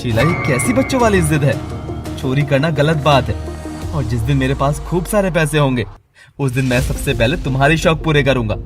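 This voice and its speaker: Poor devoted husband for an animated moral tale, played by a man; medium pitch, meek, pleading, clear music underneath. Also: normal speed, natural voice. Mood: exasperated, pleading. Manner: pleading, earnest. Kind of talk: animated moral story acting.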